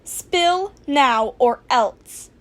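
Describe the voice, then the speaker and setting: A woman voicing a bossy, foul-mouthed, meddling adult heroine with a loud, emphatic delivery in a fan fiction reading. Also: high voice, expressive, drawn-out words, heavily acted. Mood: demanding.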